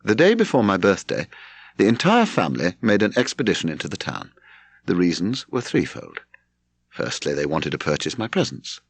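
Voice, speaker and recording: A low-voiced British man narrates a literary memoir with a gravelly edge. His delivery is measured and expressive, with pitch that moves freely as he tells the story. The recording is close and dry. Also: no room sound. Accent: British English. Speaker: audiobook narrator.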